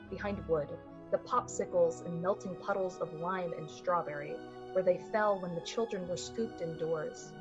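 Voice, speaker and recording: A woman's voice: lowish voice, natural voice. A woman narrating a suspenseful story in the manner of a 1940s radio play. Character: literary storyteller of a suspenseful summer-night tale. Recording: slightly muffled, music underneath. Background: music.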